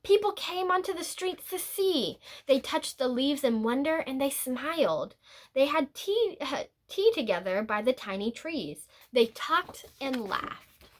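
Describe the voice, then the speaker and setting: A woman with a fairly high voice reads a children's story aloud. She takes it very slowly, drawing out words and leaving pauses, and her pitch moves expressively as she goes. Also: expressive. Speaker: read-aloud teacher. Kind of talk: picture-book read-aloud, scripted narration with brief asides.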